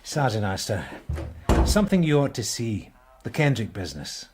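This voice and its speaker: A man acting in a radio drama as a brisk, businesslike police sergeant with a wry streak. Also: fairly low pitch, sing-song, background noise. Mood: wry, purposeful. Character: brisk, dry male police detective sergeant. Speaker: actor.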